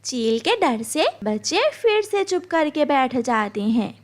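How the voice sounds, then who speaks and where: A woman's voice: high-pitched, sing-song, takes it slowly. A woman reading a scripted children's cartoon story as narrator.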